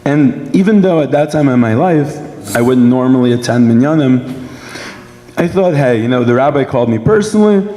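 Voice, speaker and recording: A young man from Brooklyn with a sore throat gives a measured, prepared personal speech at a dinner event. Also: mid-range voice, mellow. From Brooklyn, New York, United States. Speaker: guest speaker giving a personal testimony. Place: large indoor event hall. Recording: echoey hall with long reverb.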